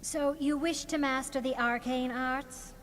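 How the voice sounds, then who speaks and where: High-voiced female magic professor, echoing hall. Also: steady tone, unhurried. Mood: formal, courteous. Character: courteous female magic professor at a mages' college. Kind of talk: scripted fantasy game dialogue.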